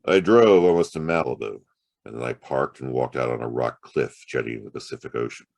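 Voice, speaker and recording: An American actor playing a world-weary, hard-boiled private detective with a soft streak in a noir audio drama, gravelly and laconic. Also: male voice, rumbling low, fairly quick, faint music behind, exaggerated voice. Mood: wry, weary. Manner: laconic, colloquial tough-guy delivery. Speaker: actor playing the lead and narrator. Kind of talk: noir audio drama dialogue and first-person narration.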